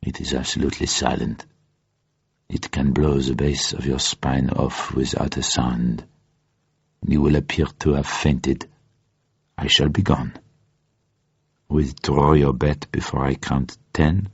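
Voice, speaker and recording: A man voicing a confident Southern French gunman in a radio reading, murmuring soft, urgent threats with a French accent. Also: extremely low pitch, flat delivery, measured pace, vocal fry, pauses often, husky, no echo, muffled recording, voice pushed down, exaggerated voice. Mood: quietly menacing. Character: confident gunman with thick voice, softly menacing Frenchman.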